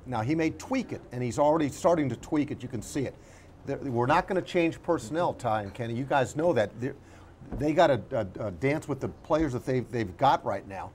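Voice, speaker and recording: A man co-hosting a casual sports talk show, analysing in a halting way, searching for words and repeating himself. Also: medium pitch, lively intonation, a little mellow, slight background noise.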